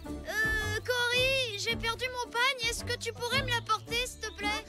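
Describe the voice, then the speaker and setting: Worried prehistoric kid in a cartoon, expressive, really high pitched, music behind. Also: unhurried. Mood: flustered. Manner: expressive, exclamatory. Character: earnest, worried young prehistoric child, dinosaur's best friend. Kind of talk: French cartoon dub acting. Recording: music under the voice.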